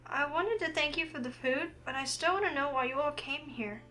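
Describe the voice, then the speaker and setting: Woman playing an earnest high-voiced young heroine. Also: brisk, bright, forceful tone, low-quality recording. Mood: curious. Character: curious, introspective young woman heroine narrating her thoughts. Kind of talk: visual novel read-aloud.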